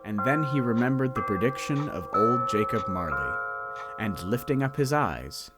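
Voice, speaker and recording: A man narrating a radio drama in an expressive storytelling manner. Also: medium pitch, normal speed, loud music behind the voice. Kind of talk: scripted narration.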